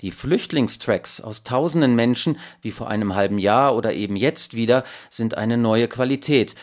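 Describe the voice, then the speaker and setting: A male radio reporter narrates a scripted news feature. His voice sits at a medium pitch, and he reads in a measured, emphatic manner, as in a prepared report.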